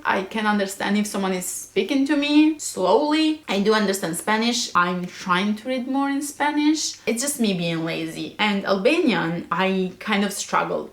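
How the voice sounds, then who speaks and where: Chatty young woman, echoey room. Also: mid-range voice. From Italy. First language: Italian. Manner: chatty and a bit nervous, fillers like "like", quick laughs. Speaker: book vlogger. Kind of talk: casual chat to camera.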